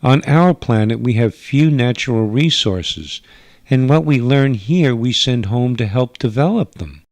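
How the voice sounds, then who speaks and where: A man's voice: mid-range voice, lively intonation, darkish tone, dry recording. A man voicing a stern, earnest alien mother in a radio drama, speaking formally and carefully, pleading for her people. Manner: formal, careful foreigner's wording, halting for words. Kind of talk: radio drama narration with character voices.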